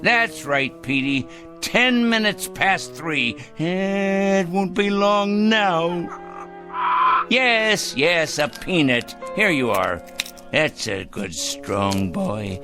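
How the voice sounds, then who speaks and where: An actor playing a deranged, self-righteous crank in an audio drama, ranting gleefully and muttering to himself. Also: male voice, high voice, expressive, very slow, music in the background, heavily acted. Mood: excited, cooing. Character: gleeful deranged crank bent on judging evil people. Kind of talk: theatrical audio drama acting.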